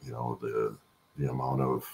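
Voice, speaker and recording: A middle-aged man with a gravelly voice answering interview questions conversationally on a video call from home, haltingly thinking aloud. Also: a bass voice, flat delivery, a little mellow, slight background noise. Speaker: guest, supply chain expert and voice-over announcer.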